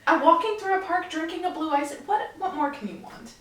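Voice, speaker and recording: A young woman co-hosting a casual podcast, chatty and quick to laugh. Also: very high-pitched, distant mic. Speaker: podcast co-host. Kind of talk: casual chat. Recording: echoey room, voice off-mic.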